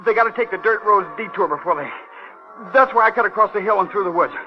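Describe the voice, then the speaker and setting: A man's voice: high voice, fast, lightly acted. An actor playing a nervous, eager, deferential country boy in a 1950s radio drama. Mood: breathless alarm. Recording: dull vintage radio, faint music.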